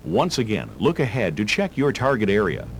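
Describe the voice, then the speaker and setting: Male narrator for a driver-training video: measured, instructive, varied intonation, faint tape hum underneath. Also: on the low side, very animated. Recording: hum and hiss of old tape.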